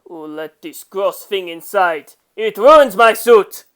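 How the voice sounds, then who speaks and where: A woman's voice: mid-range voice, expressive, takes it slowly, clear, resonant tone. A woman voicing an arrogant male gangster boss from a story, indignant and sneering as a scheming villain. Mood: disgusted anger.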